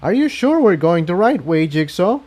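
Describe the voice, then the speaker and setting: A man's voice: high voice, big pitch swings, normal speed, a little mellow, voice pushed up. A man voicing a loyal, concerned female pony companion in a story reading. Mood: uncertain.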